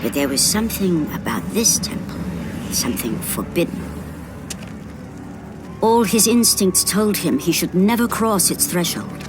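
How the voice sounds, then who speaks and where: An actress playing a grave storyteller, narrating a mythic video game tragedy in a solemn, portentous way over music. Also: female voice, lowish voice, expressive, a little slow. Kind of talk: dramatic scripted game cutscene narration. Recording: loud music behind the voice.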